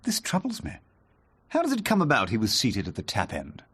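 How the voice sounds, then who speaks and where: An actor playing a pompous middle-aged English High Court judge in a radio drama, ponderous and earnest. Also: male voice, huge pitch swings, brisk, a bit bright. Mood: troubled, incredulous.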